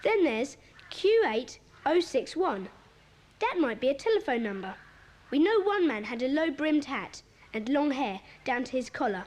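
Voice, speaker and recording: A sensible schoolboy who takes charge of his gang. The voice is high and animated, with an earnest, bossy manner made for a children's audio story. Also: normal speed. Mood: keen, commanding. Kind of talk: children's audio dramatisation acting.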